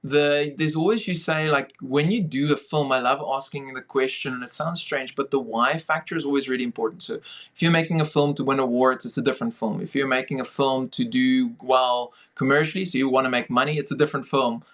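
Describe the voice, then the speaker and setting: A man's voice: mid-range voice, a little fast, a bit bright. A man with a South African accent giving conversational interview answers as a filmmaker guest on a podcast.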